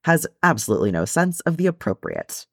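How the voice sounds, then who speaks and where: A man's voice: fairly high pitch, sing-song, fairly quick, a bit bright, dry recording, clean studio sound, pitched above the performer's own voice. Guy doing a well-mannered young hobbit from a storybook, earnest, easily shocked, voice swings about. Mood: apologetic. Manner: earnest, exclaiming, apologetic. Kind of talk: storybook character reading.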